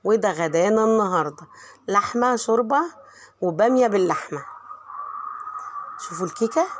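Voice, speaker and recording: Middle-aged Egyptian woman, home vlogger with a cold, hoarse and quick, chatty and warm toward her viewers. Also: medium pitch. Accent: Egyptian Arabic. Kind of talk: chatty voice-over narration of home cooking.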